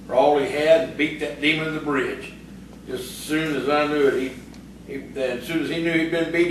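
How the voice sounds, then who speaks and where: A man's voice: fairly high pitch, natural voice. A man in an audio theatre play acting a boastful, teasing young village rogue. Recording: echoey room, distant mic, faint noise.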